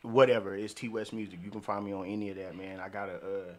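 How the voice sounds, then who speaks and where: A man's voice: lowish voice, flat delivery, fast, a little mellow. A man who makes music, casually telling podcast listeners where to find his work, with music in the background. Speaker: music artist and producer, podcast guest. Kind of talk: casual plug of own music.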